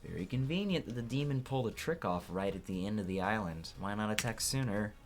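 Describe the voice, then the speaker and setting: A man's voice: on the low side, expressive, fast, music in the background. A man reading courtroom game dialogue aloud as a let's player, with casual asides.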